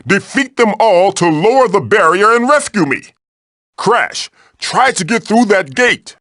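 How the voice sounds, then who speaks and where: A man's voice: sits high, lively intonation, a little slow, a bit bright, no room sound, studio quality. A man voicing a magical floating tiki mask in a video game, a fatherly guide who is theatrical, encouraging and wry. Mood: urgent. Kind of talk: video game character voice lines, tutorials and quips.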